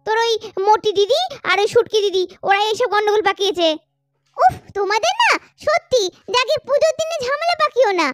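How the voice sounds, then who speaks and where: A woman's voice: extremely high pitch, brisk, no echo, clean studio sound. A woman voicing a little schoolgirl doll in a children's cartoon, chatty and bickering in a childish, colloquial way. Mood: scolding. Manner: childish, colloquial scolding and teasing.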